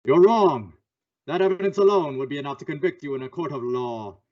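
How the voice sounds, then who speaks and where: A man acting a stern, menacing invisible crime fighter in an old-time radio thriller, speaking deliberately and accusingly. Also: fairly high pitch, lively intonation, lightly acted. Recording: dull lo-fi audio.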